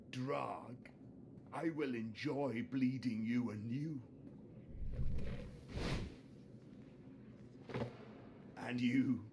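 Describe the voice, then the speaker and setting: Theatrical sneering villain, widely swinging pitch. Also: male voice, background noise, heavily acted. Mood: menacing taunting. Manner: theatrical, taunting, drawn-out delivery. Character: sneering, vengeful Sith lord villain, contemptuous. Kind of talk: video game voice acting.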